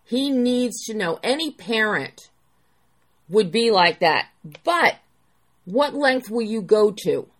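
A woman interviewer asking a filmmaker measured, appreciative questions. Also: on the high side, lively intonation.

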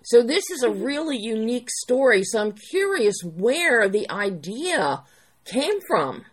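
A woman interviewer asking a filmmaker measured, appreciative questions. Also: on the high side, very animated, breathy edge.

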